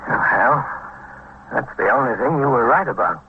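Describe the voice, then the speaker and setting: An actor playing a terse, probing police captain with an authoritative manner in a vintage radio crime drama. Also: male voice, mid-range voice, expressive, normal speed, slightly rough. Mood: matter-of-fact. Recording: dull vintage radio recording.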